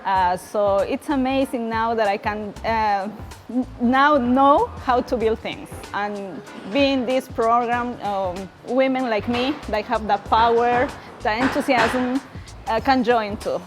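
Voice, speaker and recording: A woman's voice: fairly high pitch, slow pace. A woman from Peru, a native Spanish speaker with a Spanish accent, talking about her trades program with many hesitant fillers and non-native phrasing. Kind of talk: testimonial interview. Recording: music playing underneath.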